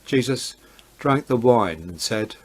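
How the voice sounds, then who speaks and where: A man with a mid-range voice serves as narrator of a dramatized scripture reading. He reads in a measured and deliberate manner. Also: mid-range voice, expressive, husky.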